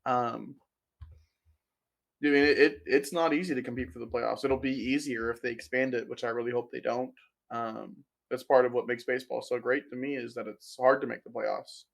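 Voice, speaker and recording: A man with a hoarse, gravelly voice from a sore throat, talking through baseball analysis as a podcast guest with dry humor. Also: medium pitch, steady tone, moderate pace. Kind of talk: casual podcast chat.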